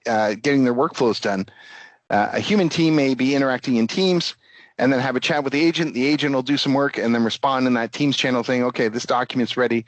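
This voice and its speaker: A man presenting business technology as a company executive, explaining step by step in a halting way with pauses mid-phrase. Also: medium pitch, no echo, rough recording. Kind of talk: business presentation with product demo pitch.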